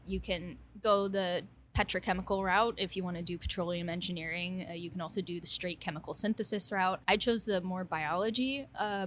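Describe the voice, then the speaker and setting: Low-voiced woman, casual and self-deprecating. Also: a little hiss or hum. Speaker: kombucha brewer and engineering alumna. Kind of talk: conversational interview answers. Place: brewery.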